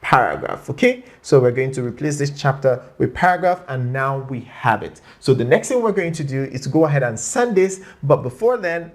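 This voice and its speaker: Someone hosting a screen share tutorial, chatty n playful, mid-pitched voice, coaxes viewers along. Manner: often tags sentences with okay or right. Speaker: tutorial host.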